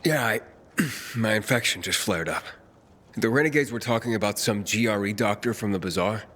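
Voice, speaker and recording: An actor playing a guarded, dry-witted young survivor hero in a video game cutscene, speaking in a terse, understated way. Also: male voice, on the low side, moderate pace, a bit bright. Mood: tired, terse.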